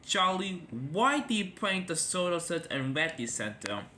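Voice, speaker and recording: A man's voice: fairly high pitch, sing-song, a little slow, bright, forceful tone, a little hiss or hum, pitched above the performer's own voice, exaggerated voice. A man voicing a stern middle-aged railway boss who lectures and scolds.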